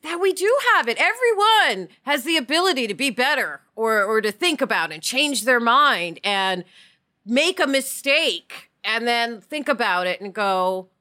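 A woman talking casually and reflectively as a podcast co-host, with an animated, opinionated and emphatic manner. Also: fairly high pitch, unhurried.

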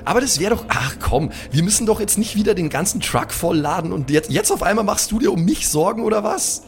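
A man improvising role-play as a tabletop player in halting, slangy, colloquial speech. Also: high-pitched, big pitch swings, creaky. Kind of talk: improvised in-character role-play mixed with casual table talk. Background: background music.

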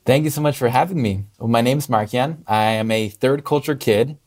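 A man's voice: medium pitch, moderate pace. A young man in his twenties, a content creator who grew up in Russia, Spain and Hong Kong, speaking in an upbeat, earnest way as a podcast guest. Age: 20s. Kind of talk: podcast interview.

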